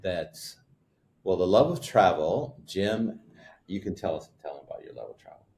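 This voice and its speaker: A man's voice: low voice, very animated, normal speed, darkish tone. A man who co-hosts a wine retreat, telling a rambling story in casual chat, often stopping to correct himself. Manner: rambling, searches for words, self-corrects. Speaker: guest, wine retreat co-host.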